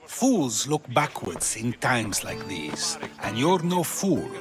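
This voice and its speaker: An actor playing an earnest, sly Greek philosopher in a video game, speaking in measured rhetorical questions. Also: male voice, mid-range voice, very animated, music in the background. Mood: conspiratorial, assured. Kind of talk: video game dialogue acting.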